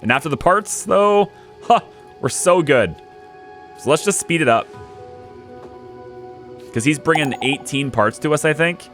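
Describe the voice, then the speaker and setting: A young man hosting a let's play, chatting casually as he plays and talking to his units, a little hoarse from losing his voice. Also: a little high, expressive, unhurried, long pauses, a bit bright, faint music behind. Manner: chatty, thinks aloud, talks to his units. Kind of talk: casual gameplay commentary.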